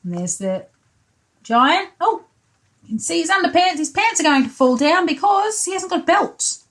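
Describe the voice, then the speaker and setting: A woman's voice: very high voice, very animated, moderate pace, a bit bright. A woman reading a picture book to young children as a pre-school teacher, adding simple asides about the pictures. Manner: child-directed, with tag questions and commentary on pictures.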